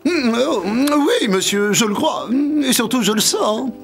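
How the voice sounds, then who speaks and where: An obsequious hotel servant from a fairy-tale cartoon, with a high, creaky voice that bobs up and down. He is formal, fawning and slyly snooty. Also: sing-song, measured pace, full character voice. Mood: disdainful politeness. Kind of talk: animated fairy-tale dubbing. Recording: music under the voice.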